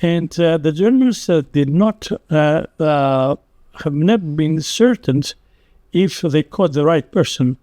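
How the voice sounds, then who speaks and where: An older man from Greece with a Greek accent, telling a history in a slow, halting way full of pauses and fillers. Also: a little high, animated, mellow, dry recording. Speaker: publisher recounting company history. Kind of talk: unscripted talk.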